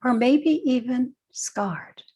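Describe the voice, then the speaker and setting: An older woman leading a guided meditation, speaking gently and leaving long pauses between short phrases. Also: high voice, breathy edge. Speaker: guided meditation teacher, nurse and educator.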